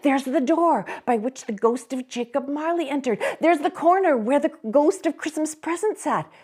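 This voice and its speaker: An old miser newly reformed, bursting with giddy, breathless joy, put on by a woman reading a storybook. His voice rides high and loud, with exclamation after exclamation. He sounds nearly beside himself with delight. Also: animated, moderate pace.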